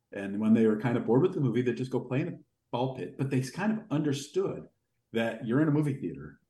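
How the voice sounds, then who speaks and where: A man with a deep voice telling long, rambling anecdotes as a podcast guest. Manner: rambling, animated anecdotes with fillers. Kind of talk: casual storytelling in an interview. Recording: echoey room.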